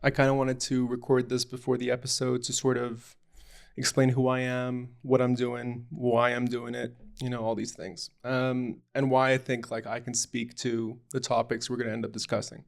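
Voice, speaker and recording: A young man from Lebanon talks about himself off the cuff, stopping often for ums and restarts. His voice has a gravelly, creaky edge. He speaks in a flat, even tone that barely rises or falls. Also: mid-range voice, normal speed. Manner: hesitant, rambling, many ums and restarts. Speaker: podcast host. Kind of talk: unscripted personal introduction.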